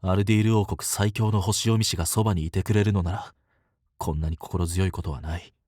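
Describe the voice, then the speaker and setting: A man playing an easygoing, protective and resolute fantasy leader in a Japanese voice drama, with casual, gently teasing speech. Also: deep voice, fairly even intonation, fairly quick, no echo, studio quality. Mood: relieved, trusting.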